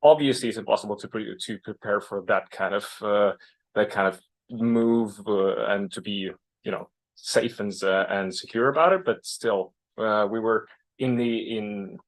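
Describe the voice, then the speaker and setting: A man's voice: lowish voice, moderate pace. A man interpreting into English at a panel, hesitant and rephrasing as he goes. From Russia. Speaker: interpreter, English-language editor. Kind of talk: interpreting aloud at a public panel.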